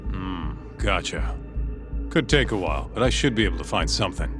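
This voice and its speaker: A man's voice: deep voice, lively intonation, a little fast. A man playing a cocky, loyal detective's sidekick in a video game cutscene, with a casual, blunt, streetwise way of talking. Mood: easygoing. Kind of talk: English video game cutscene dub acting. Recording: music playing behind the voice.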